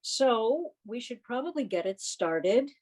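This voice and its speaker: A woman's voice: a little high, lively intonation, slow, noisy background. A woman informally hosting a club meeting over a video call. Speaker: meeting host.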